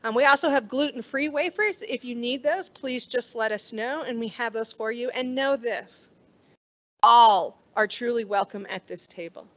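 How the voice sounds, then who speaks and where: Middle-aged woman pastor, slow and solemn. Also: on the high side, dull audio. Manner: measured, warm liturgical delivery with slow solemn phrases. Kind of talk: leading communion liturgy. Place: church sanctuary. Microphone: stand mic at a lectern, far camera.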